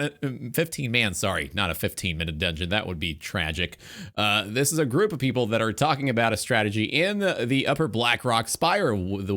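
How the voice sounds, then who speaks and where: Casual male host, middling pitch. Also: a bit bright. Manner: rambling, self-correcting, wry. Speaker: talk show host. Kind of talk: casual, profane show chat with loose introductions.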